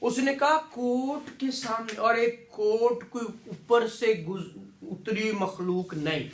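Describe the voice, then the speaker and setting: A man's voice: high voice, a bit bright. A man with a Pakistani Punjabi accent lecturing to a class, explaining repetitively, often checking for agreement and mixing in English legal terms. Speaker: law lecturer. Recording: echoey room sound.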